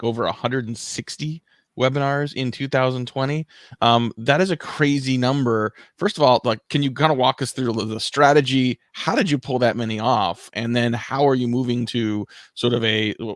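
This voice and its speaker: A man's voice: mid-range voice, dry recording. Upbeat male interview host, dry sound. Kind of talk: live online interview. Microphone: podcast-style microphone.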